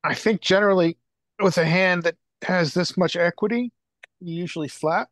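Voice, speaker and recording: A man's voice: high voice, normal speed, a bit bright, no room sound, studio quality. A man in a poker study group answering the coach, reasoning step by step on a video call.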